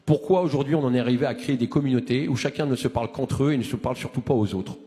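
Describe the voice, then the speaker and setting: A man's voice: medium pitch, rapid-fire, dark tone, big-room sound. A man in his forties giving an impassioned, rousing address to a movement, full of restarts and rhetorical questions. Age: 40s. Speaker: activist speaker.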